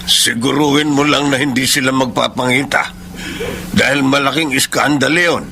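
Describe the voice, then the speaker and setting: A man's voice: medium pitch, normal speed, vocal fry, bright, forceful tone. An actor playing an older father, paternal and admonishing, wary of scandal, in a radio drama. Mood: scolding, cautioning. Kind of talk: Tagalog radio drama acting. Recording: noisy radio drama track.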